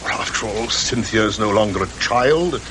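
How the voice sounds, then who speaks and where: A man's voice: lowish voice, lively intonation, moderate pace, lightly acted. A British actor playing a worried, middle-aged titled father, polite, reserved and hesitant, in an old-fashioned radio drama style. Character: worried, well-to-do father, a titled English gentleman. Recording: noisy old radio recording.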